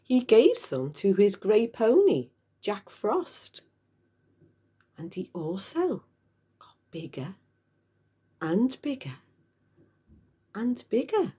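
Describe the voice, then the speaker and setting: A woman reading a children's story aloud with expressive, dramatic emphasis. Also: medium pitch, slow pace, long pauses, breathy edge. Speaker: storybook reader. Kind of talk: animated scripted children's story narration.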